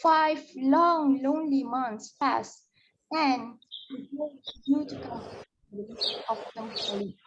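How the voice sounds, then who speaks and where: A woman as high-voiced storyteller of sad tales. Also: slow, noisy background. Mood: sorrowful. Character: Ida, young woman telling a sad ghost story. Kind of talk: dramatic reading of a story. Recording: muffled low-fidelity audio.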